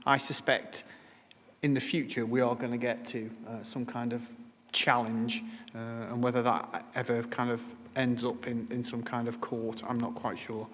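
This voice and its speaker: A man explains policy in a measured, careful way, often restarting his sentences. His voice sits in the middle of the male range and carries a long, reverberant ring from the council chamber. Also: mid-range voice, fairly bright tone. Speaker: council officer answering questions. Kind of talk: formal meeting explanation.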